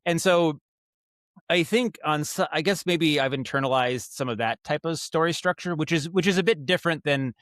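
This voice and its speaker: Clear-voiced man thinking aloud. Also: fairly high pitch, fairly bright tone, no echo, clean studio sound. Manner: halting, thinking aloud, circling back on thoughts. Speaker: podcast guest, writer and director of audio dramas. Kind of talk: casual conversational interview.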